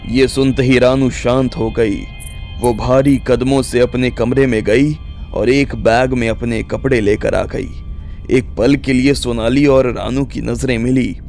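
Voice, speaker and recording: A man's voice: mid-range voice, fairly even intonation, a little slow, a little mellow. A man narrating a scripted audio drama story as its storyteller. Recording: music bed under the voice.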